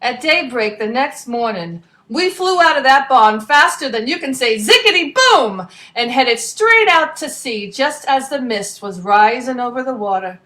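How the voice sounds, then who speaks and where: A woman narrating as a brash young male pigeon adventurer, slangy and energetic, in a storybook reading. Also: sits high, measured pace, few pauses, pitched above the performer's own voice, heavily acted. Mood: cheerful.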